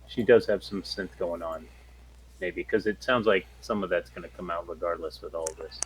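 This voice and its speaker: A man's voice: low-pitched, fairly even intonation, moderate pace, slightly rough, poor audio. A man playing a tabletop game, talking casually and tentatively as he thinks aloud.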